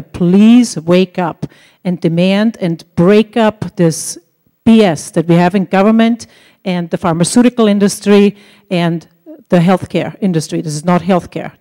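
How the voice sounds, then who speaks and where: A woman's voice: on the low side, dark tone. A woman from Austria with an Austrian German accent, giving an earnest, increasingly indignant public comment as a resident at a hearing.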